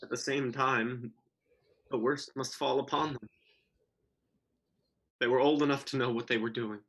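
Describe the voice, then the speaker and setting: Bright-voiced, despairing schoolboy played by a man. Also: mid-range voice, steady tone, breathy edge. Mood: bitter, resigned. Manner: brooding, deliberate monologue. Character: bitter, despairing schoolboy brooding alone. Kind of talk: dramatic reading of a stage play.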